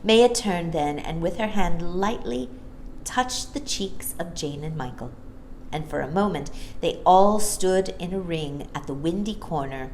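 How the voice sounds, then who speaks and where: Female storyteller for children's book: animated, lowish voice, expressive reading, slight background noise. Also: unhurried, poor audio.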